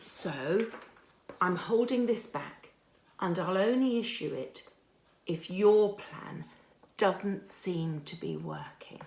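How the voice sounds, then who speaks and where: A woman's voice: fairly low pitch, very animated, slow, darkish tone. A woman playing an earnest, deferential undercover agent, reporting to a superior in a hesitant, confiding video-diary monologue. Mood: confiding, determined. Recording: roomy, echoing amateur online recording.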